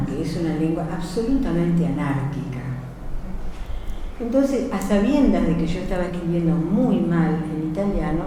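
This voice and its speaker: Argentine woman, poet, rambling storyteller, mellow voice, echoey hall from far off. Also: lowish voice, slight background noise. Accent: Argentine Spanish. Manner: expansive storytelling, self-correcting. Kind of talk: book presentation talk. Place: event hall.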